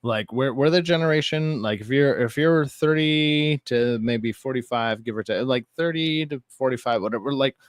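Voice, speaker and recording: A man's voice: medium pitch, moderate pace, few pauses, no room sound, clean studio sound. A man in his thirties hosting a livestream talk show, chatting casually and giving exasperated, opinionated rants. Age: 30s.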